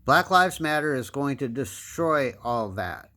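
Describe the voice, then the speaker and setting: A man's voice: medium pitch, lively intonation, measured pace, sharp tone. An American man in his fifties giving an emphatic, lecturing opinion monologue as a political commentator, repeating phrases for stress. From United States. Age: 50s.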